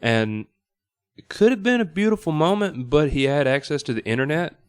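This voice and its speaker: A man's voice: a little high, lively intonation, normal speed, clear, resonant tone. A man on a podcast panel, talking informally and explaining things through examples. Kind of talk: casual panel discussion. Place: studio.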